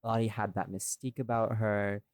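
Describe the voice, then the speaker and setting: Low-voiced man, casual podcast chat. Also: level tone, moderate pace, a little mellow, clean tone, dry recording, studio quality. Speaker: audio series creator and podcast co-host. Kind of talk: casual chatty behind-the-scenes discussion.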